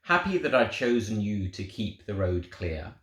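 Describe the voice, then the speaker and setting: Guy reading as gentle proud god talking to his little creatures, storybook, swoopy pitch, echoey room. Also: big pitch swings. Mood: tender questioning. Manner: tender, slow, questioning. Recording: roomy, echoing sound.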